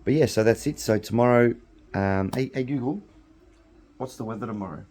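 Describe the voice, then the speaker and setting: A middle-aged Australian man hosting a podcast alone, slightly hoarse from losing his voice, rambling casually with frequent ums and restarts. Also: mid-range voice, a little fast, a little mellow. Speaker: podcast host. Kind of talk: casual solo chat.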